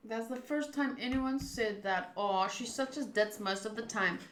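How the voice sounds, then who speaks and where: A woman's voice: fairly high pitch, normal speed, reverberant, background noise. A woman streaming a visual novel, reading the narration aloud and reacting casually and expressively.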